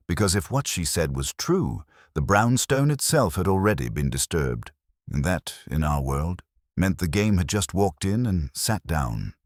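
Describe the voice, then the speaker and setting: Very deep-voiced man narrating detective fiction. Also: dry recording, clean studio sound. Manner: wry, wisecracking. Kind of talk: scripted first-person detective audiobook narration.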